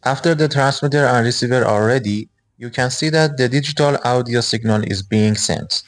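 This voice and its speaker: A man's voice: medium pitch, normal speed. A man in his twenties hosting a hobby electronics video, explaining his project step by step. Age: 20s.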